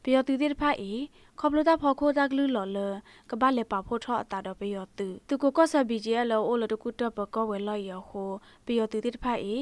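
A woman's voice: a little high, a little fast, hardly any pauses, dry recording. A woman presenting scripted news as a radio newsreader.

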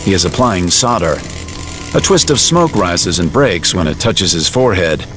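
Low, animated male drama narrator over music. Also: normal speed. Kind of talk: scripted audio drama narration.

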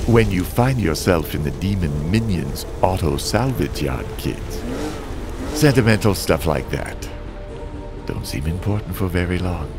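Male actor for a weary driver father, brooding narration, lively in pitch. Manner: slow, brooding storyteller narration. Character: weary guilt-ridden stunt driver father. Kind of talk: narrated motion comic. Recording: over loud music score.

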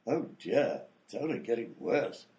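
A man playing a mild, fussy, easily flustered gentleman doctor and inventor, in a radio comedy. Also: mid-range voice, sing-song, a little slow. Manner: polite, fussy, flustered, old-fashioned exclamations. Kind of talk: old-time radio comedy acting.

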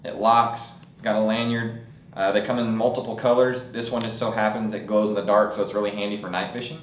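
A man's voice: fairly low pitch, little pitch movement, a little fast, roomy sound, a little hiss or hum. A young man presenting fishing products at a table in a studio, talking casually with frequent fillers. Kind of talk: product demonstration.

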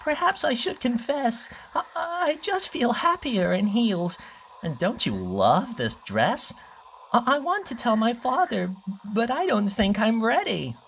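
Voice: mid-range voice, sing-song, unhurried, creaky, a little hiss or hum, voice pushed up, full character voice, low-quality recording. A storyteller voicing a timid little male crocodile who loves dresses, speaking in a pleading, anxious way. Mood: tearful. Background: soft music.

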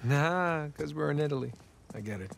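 A man's voice: a little high, lively intonation, normal speed, gravelly, very noisy. An actor playing a wisecracking male adventurer who quips dryly. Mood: quippy. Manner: quippy, dry. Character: wisecracking male adventurer, young middle-aged.

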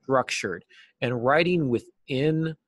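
A man's voice: medium pitch, expressive, slow pace, fairly bright tone, dry recording. A middle-aged man talking casually as an interview guest on a video call, explaining things with examples and some self-deprecation. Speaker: interview guest, novelist and writing teacher. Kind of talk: casual interview conversation about craft. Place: small cluttered office, indoors. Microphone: video call, seated at a desk.

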